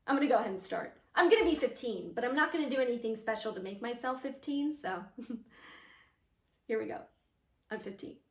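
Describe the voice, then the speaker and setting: A woman's voice: fairly high pitch, lively intonation, normal speed, long pauses, a bit bright, far from the mic. A woman playing an earnest, eager playwright reliving her teenage speechmaking self, in a chatty, emphatic theatrical monologue addressed to an audience. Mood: wry, awkward. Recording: echoey room.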